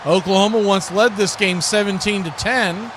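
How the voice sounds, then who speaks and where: High-voiced man calling football over noise. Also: steady tone, moderate pace. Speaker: play-by-play sports announcer. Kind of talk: live radio football commentary.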